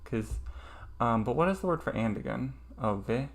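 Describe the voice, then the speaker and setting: A man's voice: mid-range voice, expressive, normal speed. A man streaming a casual study session, thinking aloud hesitantly with lots of ums.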